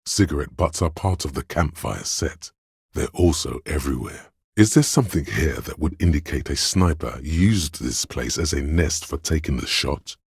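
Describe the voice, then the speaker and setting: A man voicing a detective's analytical inner voice in a video game, narrating in a deliberate, precise and literary way. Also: animated, dry recording, clean studio sound, full character voice. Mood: measured, observant. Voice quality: very deep, gravelly, husky. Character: inner voice of a detective's spatial reasoning, measured and analytical. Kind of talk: scripted game narration in second person.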